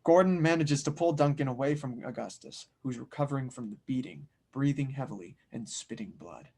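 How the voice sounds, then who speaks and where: A man's voice: medium pitch, a little fast, vocal fry, mellow. A man reading scene directions as narrator at an audio drama table read, in a dramatic scripted style.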